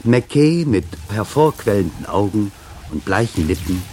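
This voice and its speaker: A man reading a literary story as dramatic narration, in a deliberate and intense storytelling manner. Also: lowish voice, very animated, darkish tone, slight background noise. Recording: music behind the voice. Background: music.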